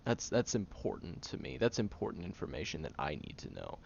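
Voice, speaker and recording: Audio roleplay: male actor as tired tsundere guy, gruff but secretly caring, halting, creaky voice with lively swings in pitch. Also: exaggerated voice. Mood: earnest, scolding. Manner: halting, sighing, grumpy and flustered. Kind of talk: intimate audio roleplay, acted monologue to the listener. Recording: dull lo-fi, clear background noise.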